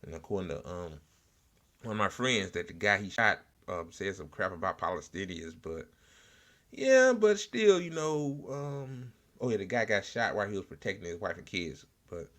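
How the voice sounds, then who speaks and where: A man hosting an informal political talk show, speaking in a blunt, sarcastic, profanity-heavy way with halting repetition. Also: fairly low pitch, big pitch swings, fairly bright tone. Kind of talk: informal political rant with commentary.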